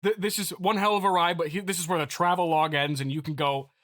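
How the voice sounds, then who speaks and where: A man with a forceful, bright voice in a fairly high register delivers an improvised comedic monologue as a podcast host. He speaks haltingly, restarting and repeating words in a mock-earnest, aggrieved manner. The sound is dry and close, with little room to it. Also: steady tone, dry recording. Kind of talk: improvised comedic monologue reading listener feedback.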